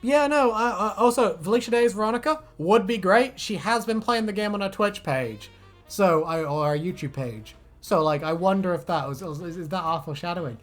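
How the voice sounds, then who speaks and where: A man's voice: high-pitched, animated, moderate pace, soft background music. A man hosting a casual fan discussion, chatting in a rambling, sweary way. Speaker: video host.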